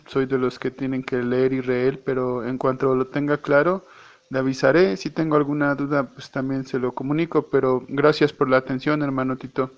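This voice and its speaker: A man's voice: medium pitch, lo-fi sound. A Mexican man from Mexico leaving a casual voice message, hesitant, often repeating and correcting himself. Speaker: church member.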